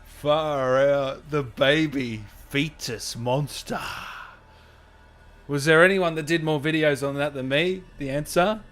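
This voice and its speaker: A man's voice: on the high side, slow pace, fairly bright tone. A man hosting a livestream in casual, chatty style, often repeating his phrases as he talks to viewers. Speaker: livestream host, gaming interviewer. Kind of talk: casual livestream chat, reading and answering comments.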